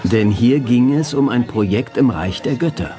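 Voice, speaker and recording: A man's voice: mid-range voice, lively intonation, normal speed, over music. A man delivering scripted documentary commentary in formal phrasing.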